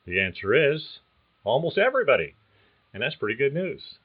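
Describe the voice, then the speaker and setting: A man hosting a career podcast, reading a script in a measured, explanatory way. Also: medium pitch, sing-song, husky, dull audio. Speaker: podcast host. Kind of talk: scripted narration.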